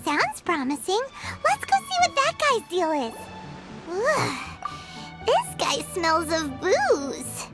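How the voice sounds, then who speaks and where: A woman's voice: extremely high pitch, wildly swooping pitch, slow, over loud music, full character voice. A woman voicing a small, childlike fairy girl companion in a video game, with perky, indignant, exaggerated delivery. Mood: grumbling. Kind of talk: animated video game character acting.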